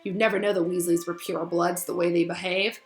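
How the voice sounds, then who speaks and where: A man's voice: high-pitched, level tone, fairly quick, roomy sound, faint music behind. A woman voicing an arrogant, sneering teenage schoolboy with a drawling, scornful delivery for a storybook. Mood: scornful, impatient.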